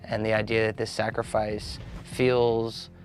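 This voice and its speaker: A man's voice: fairly low pitch, little pitch movement, unhurried. A man in an interview commenting on the making of a film and its cast. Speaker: filmmaker interviewed in featurette. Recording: music behind.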